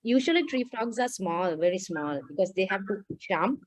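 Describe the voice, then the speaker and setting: A woman on a video call casually explaining animals to children, a little halting and checking in as she goes. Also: medium pitch, expressive, no echo. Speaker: guest explainer on wetland animals. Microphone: video call microphone.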